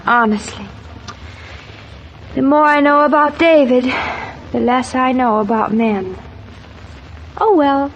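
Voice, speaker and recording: Sweet indulgent young wife, very high slow voice, hissy old radio soap. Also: female voice. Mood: bemused. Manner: affectionate, yielding. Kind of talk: 1940s radio acting. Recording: dull, noisy old radio transcription.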